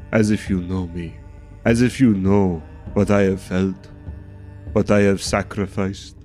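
A man's voice: deep voice, mellow. A man playing a proud, hardened, brooding brother in a tabletop game, stoic yet anguished, speaking in grave, formal, theatrical language. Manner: grave, formal, theatrical speech without contractions.

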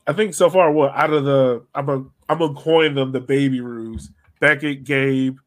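A man with a mid-pitched voice hosts a casual sports podcast. He talks like a fan among friends, working out his thoughts aloud. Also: fairly even intonation, normal speed.

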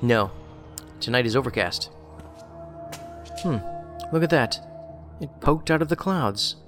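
An actor in an audio drama playing an inquisitive male federal agent with a measured, dry, probing delivery. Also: mid-range voice, animated, lightly acted. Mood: dry, guarded. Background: music.

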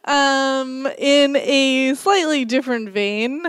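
A woman co-hosting a podcast, chatting casually about a book in a rambling way with lots of fillers, a little croaky from a cold. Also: sits high, takes it slowly, dry recording. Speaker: podcast co-host. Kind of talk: casual book-review chat.

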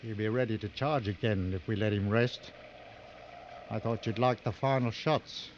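An actor playing a seasoned, coolly sardonic hunting guide in a mid-century radio drama, giving clipped, authoritative instructions. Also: male voice, fairly low pitch, moderate pace, natural voice. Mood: dry, sardonic. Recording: dull old radio recording, noisy.

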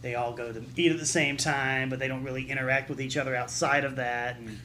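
Guy podcast host, bright voice, stammery explaining, casual, bit of background noise. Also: medium pitch, fairly even intonation, fairly quick. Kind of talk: casual group discussion.

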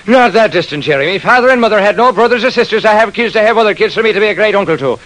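Here is a man acting as an honest, excitable working fellow. The voice sits high and jumps around in animated swings. It is played in classic radio drama style on a vintage recording. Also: racing. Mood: eager, anxious. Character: excitable honest Irish-American garbage collector turned millionaire.

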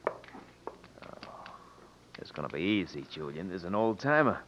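A man's voice: low voice, big pitch swings, a little slow. An actor playing a grumbling, greedy American henchman and safecracker, in an old-time radio western. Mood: eager, grudging. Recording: dull, noisy vintage radio recording.

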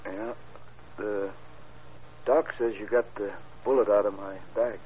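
Actor as slow, weak wounded man. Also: lowish voice, steady tone, lightly acted. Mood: weak, weary. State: weak, labored. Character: wounded, weakened male gunshot victim. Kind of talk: 1940s-50s radio crime drama acting. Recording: muffled, noisy vintage radio recording.

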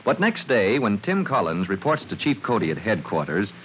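An actor narrating a 1940s radio serial in a measured, suspenseful announcer's style. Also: male voice, fairly low pitch, sing-song, a bit bright. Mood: storytelling. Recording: noisy, dull vintage radio recording.

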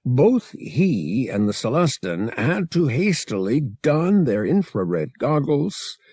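A man's voice: medium pitch, big pitch swings, slow, mellow, husky, no room sound, clean studio sound. A man narrating an adventure novel as an audiobook, reading expressively from a script.

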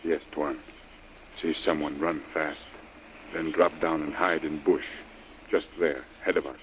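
A watchful native sidekick in a vintage radio serial. The voice is extremely deep, the English broken and terse, always respectful to his boss. Faint broadcast noise sits behind it. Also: fairly even intonation, unhurried, low-quality recording. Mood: deferential, wary. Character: loyal, watchful native male servant and sidekick. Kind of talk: old-time radio adventure acting.

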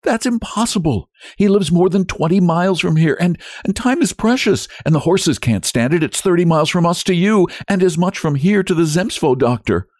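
A distraught young wife of classic fiction acted out by a man narrator. The voice is lifted high and swings widely as it begs, tearful and urgent, in a dry recording. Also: animated, normal speed, hardly any pauses, a little mellow, no room sound. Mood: indignant pleading. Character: desperate, pleading young wife begging for help.

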